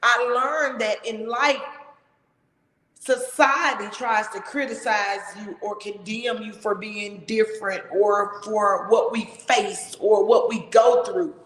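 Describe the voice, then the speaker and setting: A woman hosting a casual talk show, with a big, loud voice and an emphatic, expressive way of talking. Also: mid-range voice, slow. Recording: echoey room with long reverb.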